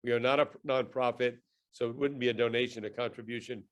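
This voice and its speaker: A man's voice: medium pitch, fairly even intonation, fast. Rambling male podcast host. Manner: rambling, with many fillers. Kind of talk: show introduction.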